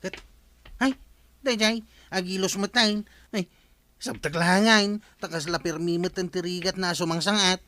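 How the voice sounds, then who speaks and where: A woman with an Ilocano accent playing an excitable, meddling village neighbour in an audio drama, exclaiming and chattering. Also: lowish voice, moderate pace, long pauses, natural voice. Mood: puzzled, concerned. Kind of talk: Ilocano radio drama acting. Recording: clear background noise.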